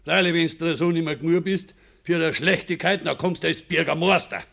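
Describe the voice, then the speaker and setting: An actor playing a bitter, stubborn old peasant farmer in a radio play, curt, sarcastic and defiant, in Bavarian dialect. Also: male voice, a little high, fairly even intonation, a little fast, creaky, fairly bright tone. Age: older.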